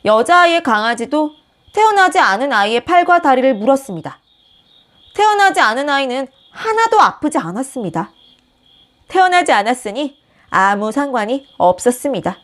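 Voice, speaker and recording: A woman host reading a picture book aloud with gentle, expressive storytelling. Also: sits high, moderate pace. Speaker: storybook reader, channel host.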